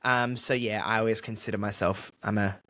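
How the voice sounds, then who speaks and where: A man's voice: fairly low pitch, steady tone, fairly quick, fairly bright tone. A man with an Australian accent talking candidly and casually as a podcast guest, with a jokey, self-deprecating streak. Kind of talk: casual conversational interview.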